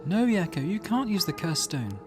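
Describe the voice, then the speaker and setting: A man's voice: sits high, sing-song, normal speed, music in the background, voice pushed up. A man voicing a cautious, level-headed teenage schoolgirl who speaks with concern in a visual novel. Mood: urgent.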